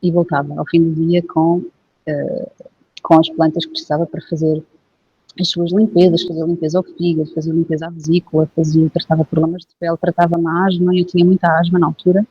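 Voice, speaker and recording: A woman from Portugal with a European Portuguese accent, a guest herbalist telling her story in a relaxed, hesitant way with many fillers. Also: deep voice, brisk, husky. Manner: reflective, hesitant, with frequent filler phrases. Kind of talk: casual interview conversation. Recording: dull, dry lo-fi recording.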